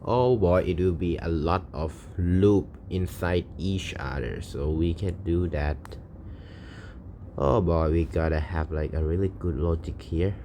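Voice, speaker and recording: Slow, deep-voiced man. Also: mellow, a little hiss or hum. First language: Thai. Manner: hesitant, self-correcting, halting non-native English. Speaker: channel host. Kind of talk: thinking aloud while solving a coding problem.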